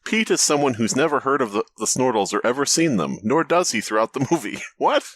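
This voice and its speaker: A man's voice: medium pitch, animated, brisk, a bit bright, no room sound. A man co-hosting a comedy podcast, silly and laughing often, tossing in goofy bits. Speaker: podcast co-host. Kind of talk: casual comedic chat.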